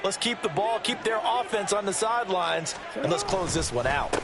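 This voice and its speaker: A high-pitched, folksy American football analyst for a video game. He explains and admires plays conversationally but with emphasis, over very loud background noise. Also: expressive, normal speed. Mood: admiring, emphatic. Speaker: color commentator.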